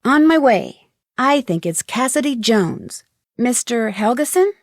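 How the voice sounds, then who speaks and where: A woman's voice: on the high side, very animated, unhurried, no room sound, studio quality, heavily acted. An actress playing a polite, determined young woman detective in a video game, speaking in an earnest way. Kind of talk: acted video game dialogue lines.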